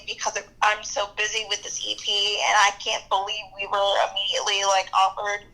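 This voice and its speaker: A young woman, a musician and activist, talking bluntly and casually in an interview, with quick laughs. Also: mid-range voice, pushed and bright, poor audio. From New Jersey and New York area.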